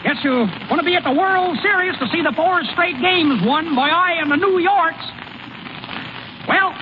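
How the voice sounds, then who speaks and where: High-pitched cocky hayseed ballplayer. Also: male voice. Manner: folksy, ungrammatical, boastful. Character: cocky, naive hayseed young baseball pitcher. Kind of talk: 1930s radio comedy acting. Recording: muffled, noisy vintage radio broadcast.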